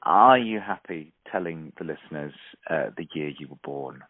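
A very deep-voiced man hosts a casual interview, his voice husky. He chats warmly along with lots of ums and restarts. The audio is dry and narrow, like a phone line. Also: lively intonation, moderate pace, no echo. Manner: chatty, hesitant, lots of ums. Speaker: podcast host. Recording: phone-like remote call audio.

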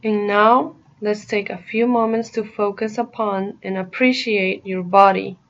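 A woman narrating a guided meditation in a soothing, deliberate manner, pausing between short phrases. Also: medium pitch, fairly even intonation, fairly bright tone, lo-fi sound.

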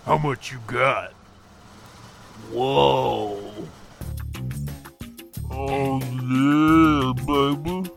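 A man's voice: medium pitch, very slow, vocal fry, long pauses, over music. A man voicing a brash, sleazy pimp in a comic podcast jingle skit.

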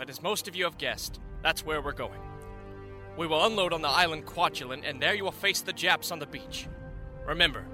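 An actor playing a resolute Marine commander, addressing his men in a commanding manner in a radio drama. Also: a little high. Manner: forceful, commanding.

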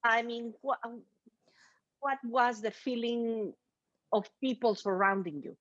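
A woman co-hosting an interview on a video call, asking slow, searching questions. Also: fairly high pitch, fairly bright tone, dry recording, dull audio. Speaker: co-host. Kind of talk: interview chat.

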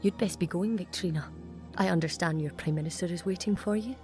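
A woman's voice: lowish voice, a little fast, darkish tone, faint music behind, voice pushed up, lightly acted. A woman voicing a craving bird-woman noble who pleads in whispers and orders people about. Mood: curt. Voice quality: whispery pleading, then commanding.

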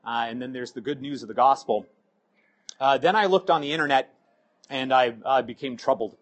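A middle-aged man with a cold, sounding hoarse, giving a halting sermon full of ums and uhs. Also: mid-range voice. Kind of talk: sermon with scripture reading.

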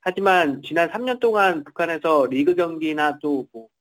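A man's voice: a little high, expressive, moderate pace, a bit bright. A man reporting in a semi-formal interview, somewhat hesitantly, often repeating and rephrasing his words.

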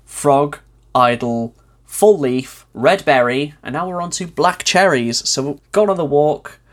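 A man's voice: fairly high pitch, expressive, unhurried. A young man from North Wales, hosting a podcast in a casual, wry, explanatory chat. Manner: chatty, wry, explains things. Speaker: podcast host.

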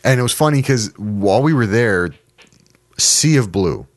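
A man's voice: on the low side, expressive, normal speed, no echo. A Canadian man chatting casually as a podcast co-host. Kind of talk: casual group chat about soccer.

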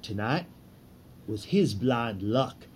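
A proud, fanatical leader of plotters in a historical radio play. His voice is husky and slow, swinging widely in pitch as he declaims with fiery, theatrical grandeur. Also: expressive, darkish tone. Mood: grave, defiant. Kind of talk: audio drama acting, period American.

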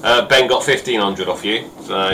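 A man with a bright, forceful voice chats casually as co-host and chips in with questions. Faint noise sits behind him. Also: lowish voice, moderate pace, poor audio. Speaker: podcast co-host. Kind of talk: casual chat.

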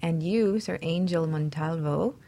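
A woman's voice: deep voice, measured pace, mellow. A woman hosting a talk show in a conversational way, speaking as a relationship coach.

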